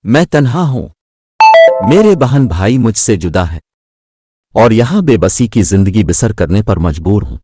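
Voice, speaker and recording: A man's voice: lowish voice, very animated, a little slow, loud music behind the voice. A man voicing a stubborn, sulky little duckling in an indignant, plaintive manner for a children's story. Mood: hurt, annoyed.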